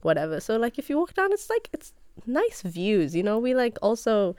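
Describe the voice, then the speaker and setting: A woman's voice: a little high, big pitch swings, moderate pace, a little mellow, no room sound, clean studio sound. A young woman from Kenya, a podcast guest, chatting casually with lots of fillers and easy laughter. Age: young adult.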